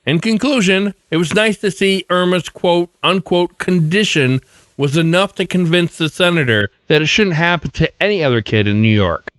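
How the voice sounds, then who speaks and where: A male podcast host with a slightly high voice, delivering scripted narration. The sound is close and dry, free of room echo. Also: a bit bright.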